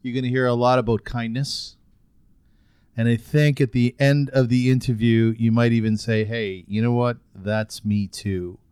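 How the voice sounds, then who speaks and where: A man's voice: medium pitch, flat delivery, moderate pace, a little mellow, clean tone, no room sound. A man hosting a podcast, speaking earnestly in casual chat, often repeating words as he starts sentences. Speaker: podcast host.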